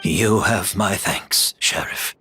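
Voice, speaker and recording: An actor playing a weary, courteous, resigned gentleman in a video game, speaking in a measured, polite, deliberate way. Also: low-pitched, a little hiss or hum, exaggerated voice. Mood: grateful.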